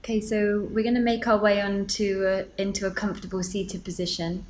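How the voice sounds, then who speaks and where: A woman leading a guided meditation, gently giving instructions with deliberate pauses. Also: mid-range voice, level tone, a little hiss or hum, rough recording.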